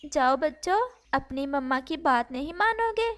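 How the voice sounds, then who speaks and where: A woman voicing a kindly, agreeable father sparrow in a cartoon story, speaking calmly and earnestly. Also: high voice, measured pace. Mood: firm, tender. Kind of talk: Hindi cartoon story acting.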